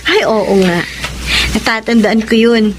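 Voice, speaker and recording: Woman playing high-voiced, gentle young Filipino wife. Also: steady tone, a little slow, noisy background, close to an everyday voice. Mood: cheerful, friendly. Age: young adult. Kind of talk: Filipino radio drama acting. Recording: radio drama with music underneath.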